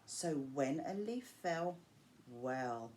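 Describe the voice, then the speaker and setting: A woman reading a rhyming picture book aloud to children, with measured, expressive phrasing and drawn-out key words. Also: low voice. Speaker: storyteller reading to school pupils. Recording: clear background noise.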